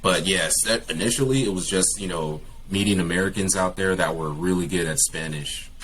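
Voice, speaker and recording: A man's voice: low voice, fairly quick, a bit bright, a little hiss or hum, low-quality recording. A young man from California, a guest English teacher, talking casually in an interview with many fillers and restarts.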